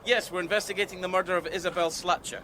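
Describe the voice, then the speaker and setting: A man's voice: high voice, steady tone, fast talker, pushed and bright. An actor playing a polite, boyishly eager British police sergeant in a radio drama, tentative in his way of talking. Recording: noisy outdoor scene with vehicle sounds.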